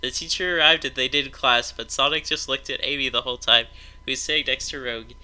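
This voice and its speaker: Bright, sharp-voiced man reading aloud. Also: mid-range voice, steady tone, fast talker. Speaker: storytime narrator. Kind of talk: comedic story read-aloud among friends.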